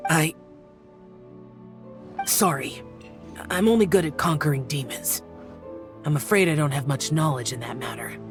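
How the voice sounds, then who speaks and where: A performer voicing a reserved, serious young male demon slayer in a video game, halting and reticent. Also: medium pitch, natural voice. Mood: apologetic. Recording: music under the voice.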